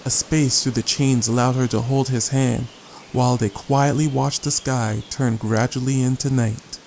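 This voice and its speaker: A man's voice: mid-range voice, fairly even intonation, moderate pace, darkish tone, slight background noise, low-quality recording. A man narrating a scripted audiobook story as the narrator. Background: guitar music.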